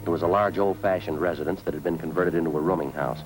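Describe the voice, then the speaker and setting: A man's voice: very deep voice, fast, low-quality recording. An American man playing a terse, businesslike police sergeant in a clipped, matter-of-fact old radio crime drama. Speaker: lead actor and narrator. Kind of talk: 1940s-50s radio drama, clipped procedural narration. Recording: old radio recording with music behind.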